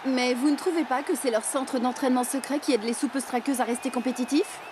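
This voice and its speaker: Woman as a high-voiced cartoon sports reporter. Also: fairly even intonation, brisk, natural voice. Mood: questioning. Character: probing female sports reporter. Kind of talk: French animated series dubbing. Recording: clear ambient noise behind.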